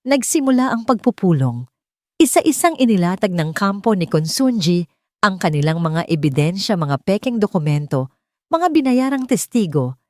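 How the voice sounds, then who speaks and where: A woman's voice: medium pitch, very animated, normal speed, dark tone, no echo, clean studio sound. A woman with a Filipino accent narrating a dramatic scripted audiobook story.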